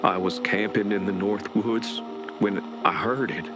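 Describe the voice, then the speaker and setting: Shaken man, acted, low voice, spoof advert testimonial over loud music. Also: steady tone, unhurried, lightly acted. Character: shaken male camper giving a testimonial. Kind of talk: parody commercial testimonial.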